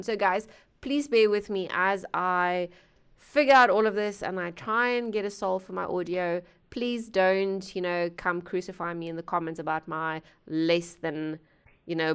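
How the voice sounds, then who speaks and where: A woman's voice: fairly low pitch, creaky, fairly bright tone, smooth. A woman hosting a music reaction channel, chatting casually to viewers from her home studio through a gaming headset, rambling with lots of fillers and asides and laughing at herself.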